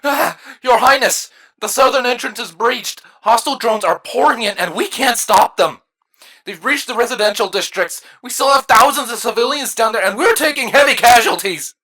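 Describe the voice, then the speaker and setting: A woman's voice: a little high, moderate pace, bright, forceful tone, pitched above the performer's own voice, low-quality recording. A woman voicing a panicked changeling soldier drone urgently reporting in a fantasy story.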